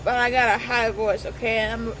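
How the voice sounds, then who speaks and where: A man with a high voice giving a casual tarot reading at work, chatty, swearing now and then, repeating himself. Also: level tone, a little fast, noisy background. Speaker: tarot reader.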